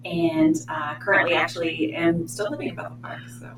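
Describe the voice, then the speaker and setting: A woman's voice: on the low side, a little fast. A woman from Pennsylvania who works as an outpatient therapist, speaking earnestly and a bit hesitantly in an interview recorded in a library meeting room. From Pennsylvania, USA. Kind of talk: casual interview answers. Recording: roomy, distant mic, steady hum.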